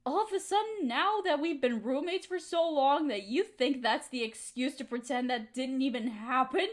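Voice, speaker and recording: A woman's voice: high voice, moderate pace, lightly acted. A woman playing a hurt, resentful young woman confronting her roommate in an emotional, accusatory audio roleplay monologue. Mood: hurt, bitter. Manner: emotional, accusatory, pointed rhetorical questions.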